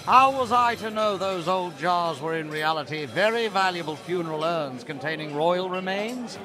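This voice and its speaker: A man voicing a down-on-his-luck scoundrel, pleading and making excuses, in an animated comic. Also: high voice, normal speed. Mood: defensive. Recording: bar crowd behind.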